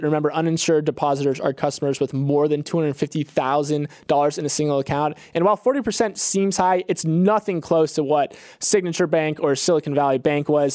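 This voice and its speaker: An American man talks through business news as a podcast co-host. His voice sits a little high and is recorded dry and close, without room sound. He is conversational and casual, leaning on key phrases for emphasis. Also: a little mellow, no room sound.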